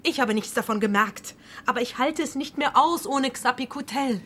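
A desperate, naive woman customer in a radio play. Her voice is very high and insistently pleading, fixed on one thing. Some faint noise sits behind her. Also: normal speed, fairly bright tone. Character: desperate, naive, single-minded female customer hooked on pills.

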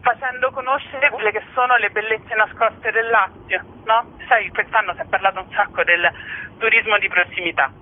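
A woman's voice: medium pitch, steady tone, moderate pace, slight background noise. Woman curator on a tinny phone line. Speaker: interview guest, festival curator. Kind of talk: conversational event presentation. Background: phone call to a radio show.

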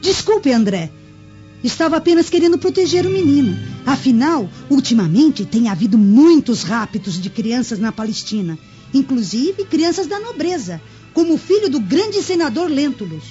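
A woman's voice: high-pitched, big pitch swings, moderate pace, warm tone. An actress playing a poor, kindly village woman in a Brazilian Portuguese radio drama, pleading and theatrical. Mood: apologetic. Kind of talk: dubbed radio drama acting. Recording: dull lo-fi audio with music underneath.

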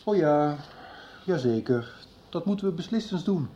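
A man's voice: a little high, expressive, moderate pace, mellow, highs cut off, slight background noise, natural voice. A man acting a busy, evasive middle-aged father in a Dutch radio soap. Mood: distracted, placating.

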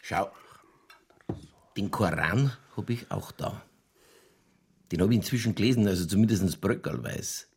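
A man playing an older, folksy Bavarian police chief in a radio crime drama, sly and skeptical, with a colloquial, dry, placating manner and a gravelly voice. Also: fairly low pitch, a little slow, pauses often, a little mellow, exaggerated voice.

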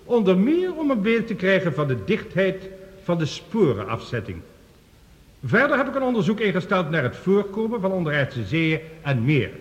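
A man's voice: high voice, big pitch swings, moderate pace, lo-fi sound. An actor playing an earnest male scientist, giving a formal, precise lecture in a science fiction radio play. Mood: earnest, explanatory. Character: male scientist reporting Mars findings, earnest and authoritative. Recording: reverberant hall, radio drama production.

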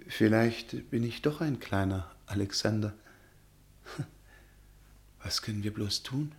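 An actor playing a naive young scholar who speaks earnestly and pleads, in a radio play. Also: male voice, fairly low pitch, slow pace, long pauses. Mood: anxious.

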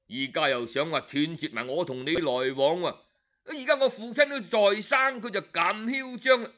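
A man's voice: sits high, normal speed, sharp tone. A man voicing an arrogant young noble son who complains with spite and indignation, in an audiobook tale. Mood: indignant, spiteful.

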